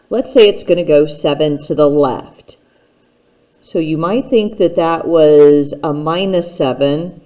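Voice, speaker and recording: A woman's voice: deep voice, warm tone. A woman teacher giving a step-by-step instructional math lesson, speaking plainly and patiently straight to the learner.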